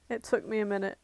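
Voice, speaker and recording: A woman co-hosting a podcast with a blunt, sweary, casual manner. Her voice is notably creaky at an average pitch, and the recording is very dry. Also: darkish tone, no echo. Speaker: podcast co-host. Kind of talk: casual chat.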